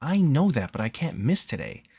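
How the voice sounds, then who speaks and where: A man's voice: mid-range voice, sing-song, normal speed, gravelly, warm tone, breathy edge, no room sound, voice pushed up, full character voice. A man voicing an irritated, indignant young woman from a visual novel. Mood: indignant, pleading.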